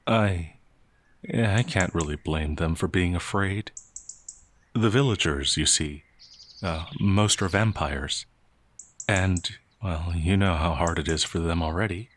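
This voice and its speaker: Timid werewolf outlaw, male performer: low register, unhurried, flustered and hesitant, soft-natured, fantasy audio roleplay. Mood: anxious, apologetic. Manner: hesitant, stammering, apologetic, flustered. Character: gentle, timid, anxious male werewolf outlaw, easily frightened.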